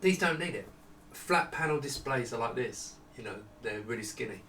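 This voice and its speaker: Middle-aged man, unscripted product demo, conversational and halting, roomy echo, mic at a distance, small indoor office. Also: medium pitch, expressive, fairly bright tone. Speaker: product designer presenting his monitors. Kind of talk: casual unscripted product walkthrough. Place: small home office indoors with studio lighting. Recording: echoey room, distant mic, faint noise.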